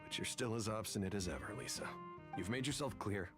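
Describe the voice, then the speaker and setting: A man's voice: on the low side, a little fast, over music, lightly acted. A man playing an earnest, stiff academic professor in a video game, speaking formally and pressing his case. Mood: disappointed.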